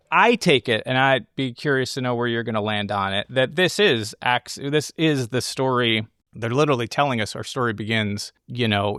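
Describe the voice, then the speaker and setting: Guy podcast host chatting through a movie, explains as he thinks, mid pitched voice, really dry recording. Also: fairly bright tone, dry recording. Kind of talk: casual film analysis chat.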